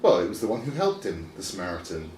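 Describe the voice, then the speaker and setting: A man as a self-assured religious teacher, echoey and lively. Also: low voice, fast talker. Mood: reciting, sure. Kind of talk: children's Bible story character voice. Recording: roomy, distant mic, faint noise.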